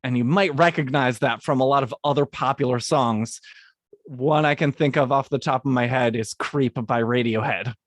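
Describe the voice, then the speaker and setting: A man, a guest composer, recording semi-scripted podcast narration in an explanatory way with halting phrasing. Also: mid-range voice, no echo.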